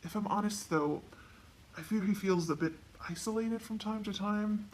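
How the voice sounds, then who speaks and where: A woman voicing a kindly, troubled king and father in measured, weighty storybook dialogue. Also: medium pitch, a little mellow, a little hiss or hum, close to an everyday voice. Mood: concerned.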